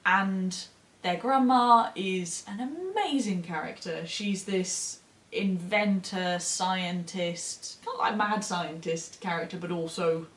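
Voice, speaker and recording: A woman's voice: lowish voice, slow. Echoey, effusive woman chatting about books. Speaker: book reviewer co-hosting short fiction recommendations. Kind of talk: casual enthusiastic book chat.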